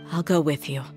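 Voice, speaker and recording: An actress playing a tough, independent young desert mercenary in a video game, firm and matter-of-fact. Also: female voice, deep voice, a bit bright, heavily acted.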